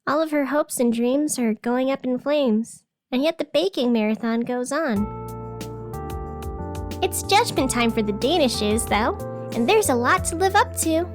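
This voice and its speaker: A woman acts out a whimsical female host of a surreal town's community radio. Her voice sits high, and she reads scripted announcements with playful, deadpan delivery. Music plays clearly behind her. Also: a little slow. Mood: wry, dramatic.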